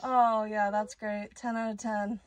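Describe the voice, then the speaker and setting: A woman visiting a tiny house, reacting with gushing exclamations and quick questions. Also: mid-range voice, level tone, dry recording. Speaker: visitor on the tour. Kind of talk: enthusiastic casual chat.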